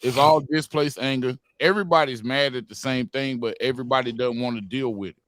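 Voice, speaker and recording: A man's voice: mid-range voice, fairly quick, a bit bright, no echo. A man in his forties talking earnestly in a heated group podcast discussion, repeating phrases for emphasis. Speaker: podcast panelist.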